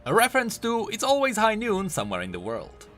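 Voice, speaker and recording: A male video host delivers game commentary over background music. His voice is fairly high and bright, with extremely lively pitch swings from phrase to phrase. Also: brisk. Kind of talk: scripted commentary on game voice lines.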